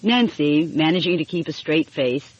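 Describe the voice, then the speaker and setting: A woman with a husky voice reading a mystery story aloud as scripted audiobook narration. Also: low voice, animated, moderate pace, dry recording, dull audio.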